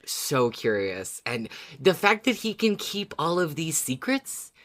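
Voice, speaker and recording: A woman's voice: sits low, very animated, measured pace. A woman co-hosting a podcast, talking casually as a fan and agreeing effusively. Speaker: podcast co-host.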